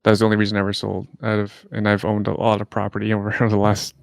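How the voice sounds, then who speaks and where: A man hosting a casual interview, relaxed, laughing often and asking short questions. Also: on the low side, rapid-fire, creaky. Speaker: podcast host.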